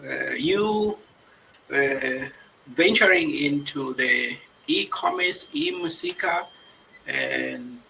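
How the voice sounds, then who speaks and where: A man's voice: gravelly, slight background noise, poor audio. A man moderating a live panel, speaking hesitantly with fillers between questions. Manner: hesitant, frequent fillers, lively pitch swings. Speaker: panel host.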